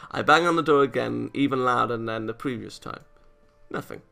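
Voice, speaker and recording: A man reading a visual novel aloud as a let's-play narrator, expressive and theatrical, with game music behind him. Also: medium pitch, normal speed, gravelly.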